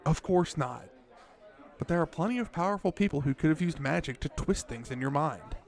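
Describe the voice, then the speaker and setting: Man as creaky-voiced, worried, cautious minder. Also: on the high side, animated, fairly quick, a little mellow. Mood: worried, insistent. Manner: earnest, pressing. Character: worried, cautious male cafe co-worker and minder. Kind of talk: audio drama acting.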